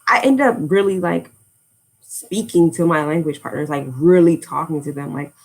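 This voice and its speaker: A woman's voice: low voice, moderate pace. An American woman chatting casually on a livestream, rambling and drifting off topic with lots of fillers. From United States. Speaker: language-learning YouTuber.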